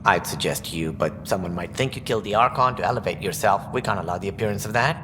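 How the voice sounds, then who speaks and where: An actor playing a stern, self-important alien politician in a video game, formal and argumentative. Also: male voice, on the low side, fast talker, lightly acted. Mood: indignant. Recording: noisy, echoing hall, ambient sound.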